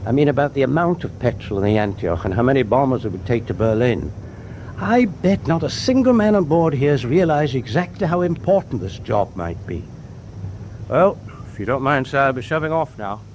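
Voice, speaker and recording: An earnest naval doctor in a wartime radio play. He is polite and practical, with lively movement in a medium-pitched voice. A faint rumble runs behind him. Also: fairly quick, rough recording. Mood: earnest, deferential. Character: earnest, deferential male British ship's doctor. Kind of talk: naturalistic wartime radio drama acting.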